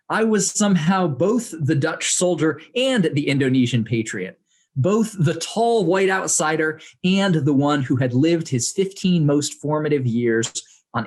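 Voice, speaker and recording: A young adult man from the United States, reading aloud from his own book to an audience in a measured way with dry humor. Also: fairly high pitch. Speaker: author reading and speaking to an audience.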